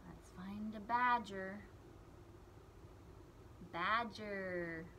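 A woman's voice: medium pitch, drawn-out words, bright, forceful tone, slight background noise. A young woman reading a picture book aloud to small children, in simple phrases with emphasized key words. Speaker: children's read-aloud storyteller.

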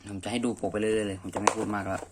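A man's voice: lowish voice, little pitch movement, rapid-fire. Casual man, noisy home recording. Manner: informal, thinking aloud. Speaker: amateur manga reviewer. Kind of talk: casual unscripted review chat. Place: messy room indoors.

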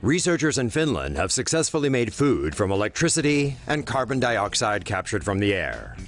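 A man reading a scripted news voice-over in a lively, wry manner. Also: medium pitch, normal speed, faint music behind. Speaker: news narrator.

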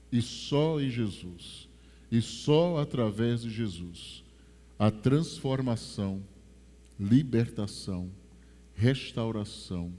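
A man in his forties from Brazil, with a Rio de Janeiro accent, preaching a sermon on a church stage through a headset mic, building to strong emphasis and then dropping to slow, hushed lines. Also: high voice. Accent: Rio de Janeiro Portuguese. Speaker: pastor. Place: large church stage with a drum kit. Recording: long church reverb.

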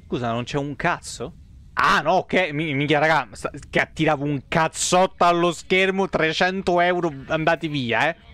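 A man's voice: a little high, normal speed, sharp tone, no echo. A man giving casual, exclamatory, sweary live commentary on a game. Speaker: live streamer. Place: indoor room, webcam stream. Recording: game sound behind the voice. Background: game effects and ambience.

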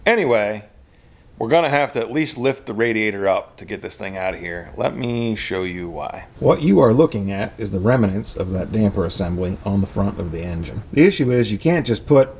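A man's voice: fairly low pitch, brisk, slightly rough. Plainspoken man narrating truck repairs. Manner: relaxed, plainspoken, explaining as he works. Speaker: car repair channel host. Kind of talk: casual DIY repair narration. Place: garage and driveway beside a truck.

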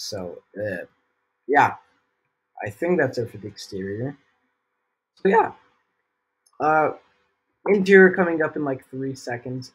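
Husky young guy, sick-sounding tutorial voice. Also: medium pitch. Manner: rambling, lots of fillers, jokey asides. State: sick, voice a bit off. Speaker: gaming tutorial host. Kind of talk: casual building tutorial voice-over.

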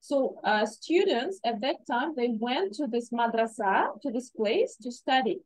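A woman, an English teacher giving a friendly, slow presentation to a class over a video call, with many fillers. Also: fairly high pitch, big pitch swings, rough recording. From Uzbekistan. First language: Russian. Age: 30s.

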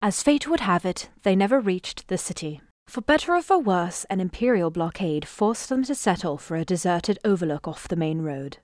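A woman's voice: fairly low pitch, lively intonation, moderate pace, few pauses, darkish tone, no echo, clean studio sound, natural voice. A woman narrating an epic tale as scripted narration in an audio drama. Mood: somber.

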